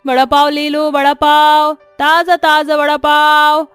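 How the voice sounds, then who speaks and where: A woman's voice: high-pitched, little pitch movement, takes it slowly, a bit bright, dry recording, soft background music, voice pushed up. A woman voicing a busy, hard-working street-food seller, eager and calling out, in a moral story.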